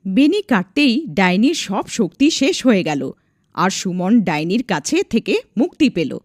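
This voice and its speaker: Lively woman narrating a folk tale. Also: medium pitch, normal speed, no room sound, clean studio sound. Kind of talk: scripted storytelling narration.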